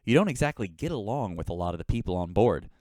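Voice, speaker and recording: An actor playing a hesitant, friendly male radio host talking gently in a sci-fi audio drama. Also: lowish voice, sing-song, quick pace, no echo, studio quality.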